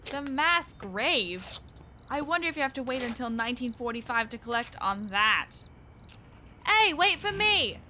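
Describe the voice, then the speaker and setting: Brash, high, sharp woman, acted in radio drama. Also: very animated, unhurried, slight background noise, exaggerated voice. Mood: wry. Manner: brash, sarcastic. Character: tough, mocking, scornful working-class woman. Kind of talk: radio play acting.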